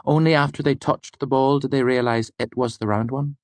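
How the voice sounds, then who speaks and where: A man voicing a clever, determined female student in an audiobook, explaining in a measured, insistent way. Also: mid-range voice, steady tone, a little mellow, no echo, voice pushed up, natural voice. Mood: earnest, insistent. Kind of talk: audiobook character dialogue.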